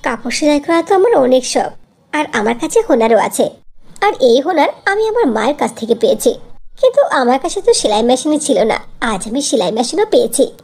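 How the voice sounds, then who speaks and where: A woman voicing a gentle, mistreated young stepdaughter in a fairy-tale cartoon, speaking in a plaintive, polite and earnest way. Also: sits high, very animated, fairly quick, vocal fry, breathy edge, heavily acted. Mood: hopeful.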